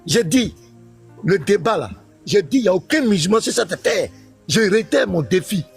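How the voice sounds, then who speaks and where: Husky, insistent pastor in a debate. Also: male voice, high-pitched, expressive, normal speed, faint music behind. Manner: insistent, interrupting, repeats himself. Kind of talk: heated live-stream debate.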